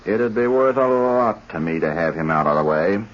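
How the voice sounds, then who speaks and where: A man's voice: deep voice, lively intonation, normal speed. An actor playing a sly, rich western man who talks in a folksy, rustic way, in 1940s radio drama style. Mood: scheming. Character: sly, crooked, rich western man feigning neighborly friendliness. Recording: muffled, noisy old radio recording.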